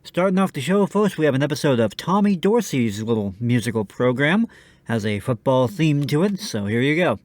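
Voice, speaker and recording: A man's voice: medium pitch, lively intonation, moderate pace, darkish tone, no room sound. A man hosting a radio show with scripted banter and introductions.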